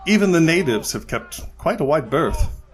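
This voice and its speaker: An actor playing a skeptical but courteous expedition captain in an audio drama, speaking formally. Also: male voice, a little high, big pitch swings, moderate pace. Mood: puzzled, courteous.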